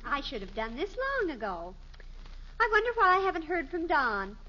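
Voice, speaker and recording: An actress playing a touchy, self-absorbed American teenage daughter with breezy insistence in a 1950s radio comedy. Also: female voice, high voice, very animated, moderate pace, bright, forceful tone, slight background noise, heavily acted. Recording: dull old radio recording.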